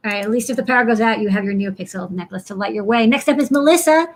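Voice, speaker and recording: A woman's voice: fairly high pitch, racing. A woman hosting a casual live show in an upbeat, encouraging way. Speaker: show host.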